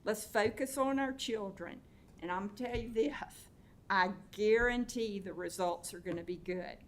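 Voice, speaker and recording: A woman's voice: medium pitch, measured pace. A woman giving an earnest, rallying address to a state board, urging everyone to work together. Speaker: guest speaker.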